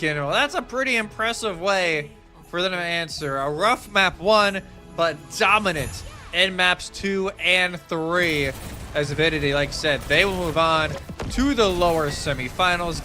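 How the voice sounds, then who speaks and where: A man talks through a competitive game as a casual, analytical caster. His voice is slightly high and clearly bright and forward, with faint music underneath. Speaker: esports commentator. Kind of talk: casual analytical match commentary.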